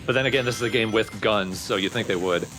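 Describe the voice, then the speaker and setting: A man's voice: on the low side, fast, a bit bright, soft background music. A man giving casual, critical commentary on gameplay as a let's player.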